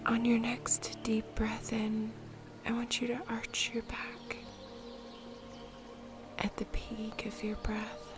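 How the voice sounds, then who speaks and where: A woman guiding a meditation in a soft, breathy, whispery voice, with a soothing, gentle and measured manner. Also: fairly high pitch. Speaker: meditation guide. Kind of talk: guided meditation, soft-spoken narration. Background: music.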